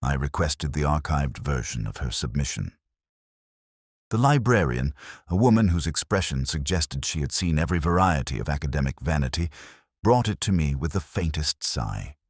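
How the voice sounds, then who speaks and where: A man narrating a first-person detective story in a measured, literary and brooding manner. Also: a bass voice, big pitch swings, husky, no room sound, clean studio sound.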